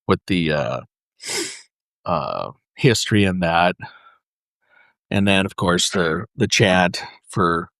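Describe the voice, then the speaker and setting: A man speaking as a podcast guest, explaining his craft casually in halting, piecemeal phrases with frequent pauses. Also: low voice, fairly bright tone, clear, resonant tone, no room sound. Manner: halting, pausing, piecemeal delivery. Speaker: podcast guest, custom paint artist.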